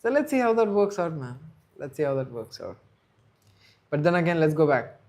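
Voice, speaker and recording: A man's voice: a little high, big pitch swings, moderate pace, a little mellow. A man, chatting casually on a live stream, rambling and answering viewers' chat. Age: 30s. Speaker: livestream host.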